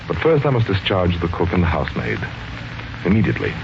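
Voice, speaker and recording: An actor playing a scheming, guilt-ridden widower in a 1940s radio mystery, speaking in a brooding, deliberate way. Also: male voice, low voice, big pitch swings, creaky, very noisy, heavily acted. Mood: cold, gloating. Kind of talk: 1940s radio mystery acting, with brooding first-person narration. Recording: muffled 1940s radio recording with music.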